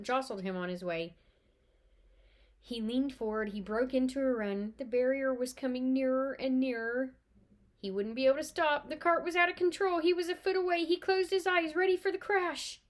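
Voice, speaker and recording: A woman with a fairly high voice reads a children's story aloud. Her delivery is animated and expressive, like an informal storytime. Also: moderate pace.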